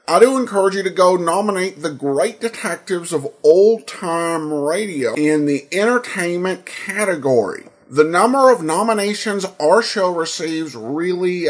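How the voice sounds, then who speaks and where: A man hosting a podcast, giving an informal introduction in a halting, earnest way. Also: fairly high pitch. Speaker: podcast host.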